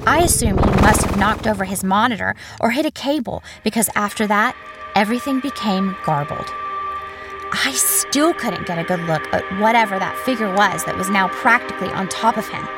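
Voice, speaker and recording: A woman plays a haunted female journalist recounting a horror tale. Her mid-pitched voice is measured and suspenseful, in dramatic first-person narration. Music plays clearly underneath. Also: lightly acted. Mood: unsettled, tense.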